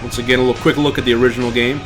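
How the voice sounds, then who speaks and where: A man's voice: mid-range voice, little pitch movement, racing, over music. A man livestreaming a video game with casual running commentary. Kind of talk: casual let's play commentary.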